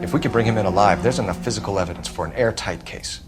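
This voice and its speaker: A man's voice: fairly low pitch, racing, background noise, natural voice. A man playing an earnest, upright police detective in a 1940s crime video game, speaking firmly and formally. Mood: urgent. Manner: firm, clipped, formal.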